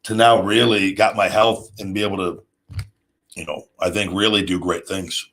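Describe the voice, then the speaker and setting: Male host, 30s, lowish pitch, casual unscripted monologue, halting and rambling delivery. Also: little pitch movement, a bit bright. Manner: rambling, halting, with repeated restarts. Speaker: show host, former pro wrestler. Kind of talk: casual off-the-cuff monologue answering viewers' questions.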